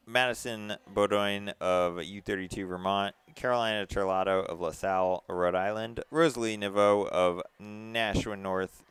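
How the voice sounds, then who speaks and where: Track co-commentator: Boston man, low and resonant, casual delivery, dry recording. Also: a bit bright, smooth, no echo.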